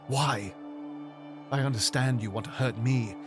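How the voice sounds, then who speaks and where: A man voicing a weary, burdened king in an audio drama, speaking gravely and measured. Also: mid-range voice, over music, close to an everyday voice. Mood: pained.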